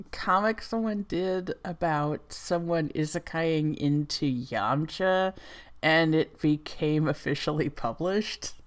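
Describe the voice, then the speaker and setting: A woman reacting casually and off the cuff, with wry, skeptical asides. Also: low voice. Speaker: reaction video host.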